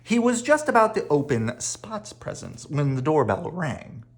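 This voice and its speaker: Animated, slow-paced children's story reader. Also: medium pitch. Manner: dramatic, with playful sound words. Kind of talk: animated read-aloud storytelling.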